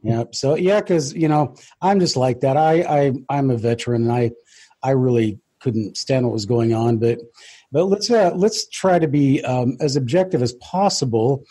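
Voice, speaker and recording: A man's voice: mid-range voice, normal speed, mellow, husky. An American man hosting an interview in a conversational, informal way, often restarting and adding personal asides. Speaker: interview host.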